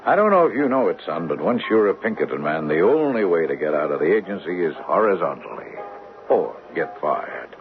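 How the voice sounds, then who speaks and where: A man's voice: deep voice, very animated, brisk. An actor playing a seasoned, firm detective agency boss in a radio drama, middle-aged, with an authoritative, folksy, fatherly way of lecturing. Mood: wry, steady. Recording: dull radio broadcast recording with music.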